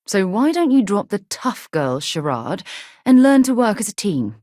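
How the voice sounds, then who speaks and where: A woman's voice: medium pitch, sing-song, moderate pace, mellow, dry recording, studio quality, lightly acted. A woman acting an earnest, composed, idealistic space explorer in a video game, speaking articulately. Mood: annoyed.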